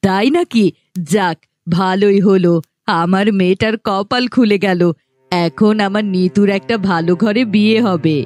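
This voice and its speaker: A woman's voice: mid-range voice, a little slow, a little mellow, no echo, faint music behind, lightly acted. A woman voicing a cruel, bossy middle-aged village stepmother in a cartoon, scornful and scolding. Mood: scheming. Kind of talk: cartoon voice acting.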